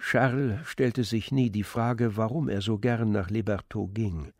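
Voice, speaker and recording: A man narrating a literary story in a measured, descriptive manner. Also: lowish voice, a little mellow, dry recording, clean studio sound.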